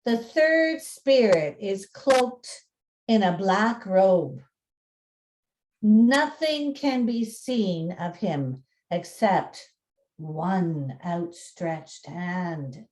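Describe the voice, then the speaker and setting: A woman's voice: mid-range voice, lively intonation, extremely slow, darkish tone. A woman co-presenting and narrating, moving between informal talk and storybook reading. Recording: roomy echo.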